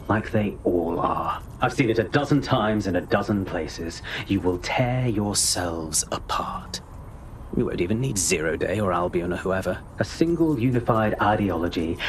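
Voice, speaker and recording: A haughty British spy villain played by a man, low-voiced and condescending. He speaks with pompous scorn in an echoey, noisy space. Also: natural voice. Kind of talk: video game voice acting. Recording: echoey room with clear noise.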